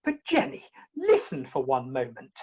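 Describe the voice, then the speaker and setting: A woman playing a husky, lovesick English gentleman. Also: a bass voice, wildly swooping pitch, normal speed, muffled recording, heavily acted. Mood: flustered. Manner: theatrical, blustering, flustered. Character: bumbling, lovesick Victorian gentleman suitor, impetuous and muddled. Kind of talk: comic stage-play acting. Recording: home video-call recording.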